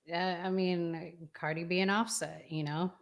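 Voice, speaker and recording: Middle aged lady hosting a chat show, kinda low voice, talking casual. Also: level tone, unhurried, gravelly, dull audio. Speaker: talk show host.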